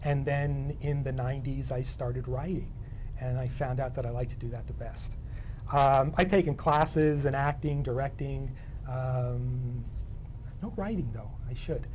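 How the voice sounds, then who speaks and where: A middle-aged man with a medium-pitched voice answers interview questions in an understated, conversational way. Some background noise sits behind his talk. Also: fairly even intonation, measured pace, darkish tone, low-quality recording. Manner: understated, modest. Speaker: interview guest, playwright. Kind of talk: casual interview chat.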